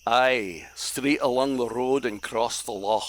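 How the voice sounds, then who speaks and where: An actor playing a curt, suspicious, tight-fisted Scottish crofter in an audio play. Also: male voice, medium pitch, slow pace, no echo, lightly acted. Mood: guarded. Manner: curt, bossy, blunt.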